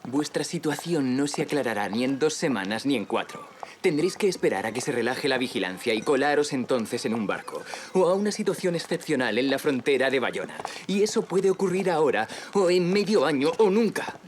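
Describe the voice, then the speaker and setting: An actor playing a cold, bitter marquis of revolutionary France in an audio drama, speaking in a haughty, cutting, formal manner. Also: male voice, medium pitch, expressive, brisk, slight background noise, natural voice. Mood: scornful. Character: cold, bitter marquis fleeing the guillotine, divorced husband. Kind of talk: period audio drama acting.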